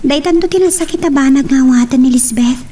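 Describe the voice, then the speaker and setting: A woman's voice: high voice, fast, mellow, far from the mic, lightly acted. A woman playing a troubled, pleading daughter in a radio drama, slightly off the mic.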